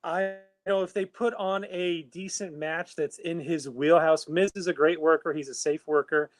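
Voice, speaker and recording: A man co-hosting a casual chat, talking through his views in a measured, analytical way. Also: a little high, steady tone, no echo. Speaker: co-host. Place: home, video call.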